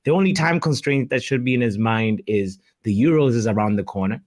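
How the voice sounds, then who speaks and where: A man co-hosting a casual live fan show, relaxed and quick to laugh, asking his partner questions. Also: mid-range voice. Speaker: co-host of a fan call-in show. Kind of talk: casual live-stream chat.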